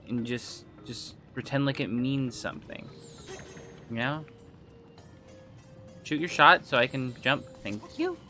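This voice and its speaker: A man's voice: mid-range voice, bright, forceful tone. A man chatting casually with viewers on a gaming livestream, rambling, trailing off and restarting, his throat a little phlegmy. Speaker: game streamer.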